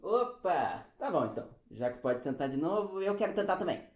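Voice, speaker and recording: A woman's voice: fairly low pitch, very animated, fairly quick, darkish tone. A woman playing a curious, hesitant amateur sleuth with questioning, exaggerated intonation in a comic audio drama. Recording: roomy, echoey sound.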